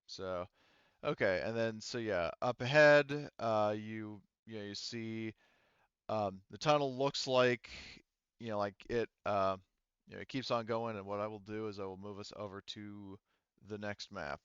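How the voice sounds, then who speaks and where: Guy gming a tabletop game, lowish smooth voice, kinda halting, slightly dull dry recording. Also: fairly bright tone, no echo. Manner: halting, searching for words. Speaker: game master. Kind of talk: narrating a tabletop roleplaying game, unscripted.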